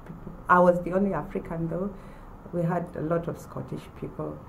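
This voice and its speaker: Gravelly woman in her forties. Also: on the low side, fairly even intonation, slight background noise, low-quality recording. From Uganda. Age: 40s. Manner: measured, with pauses and restarts. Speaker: former college student. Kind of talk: personal testimonial to camera.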